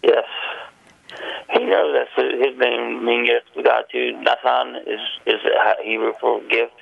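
A man tells a long, wandering story as a guest. His voice is very gravelly and husky. It comes through a muffled phone line. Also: medium pitch, little pitch movement, measured pace. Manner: rambling storytelling.

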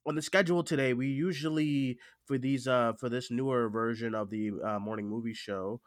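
A man with a stuffy cold hosts a casual podcast, talking in a halting way that often doubles back on phrases. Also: medium pitch, fairly even intonation, no echo, clean studio sound. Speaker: podcast host.

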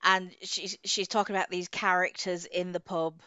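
A woman whose family is from Ireland, co-hosting a casual book chat in an animated way and laughing often. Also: fairly low pitch, moderate pace, no echo. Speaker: podcast co-host. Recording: dull lo-fi audio.

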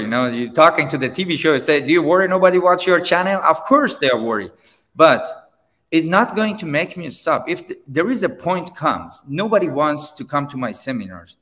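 A man whose first language is Persian, a seminar trainer answering interview questions in halting English with many restarts. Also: fairly high pitch, long reverb tail. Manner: halting, many restarts, non-native grammar, animated when pressed. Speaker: seminar trainer and company founder.

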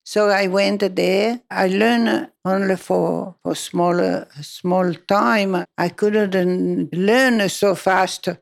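A woman's voice: medium pitch, steady tone, extremely slow, vocal fry, few pauses. An older woman recounting her working life in an oral history interview, speaking hesitant, broken English.

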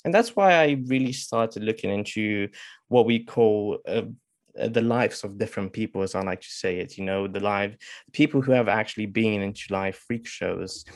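Casual rambly guy, twenties, low voice, dead dry mic. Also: normal speed, dry recording. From Romania. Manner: rambling, jumps between points. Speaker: guest author. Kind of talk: casual interview talk.

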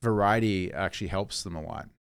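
A podcast host who talks fast and rambles, circling back and restarting his sentences. His voice sits a bit low and comes through clean and smooth. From Vancouver Island, Canada. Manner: chatty, rambling, many fillers and restarts. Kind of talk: casual interview chat.

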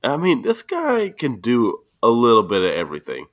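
A man's voice: mid-range voice, wildly swooping pitch, moderate pace. A man co-hosting a casual podcast, joking and laughing often. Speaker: podcast co-host.

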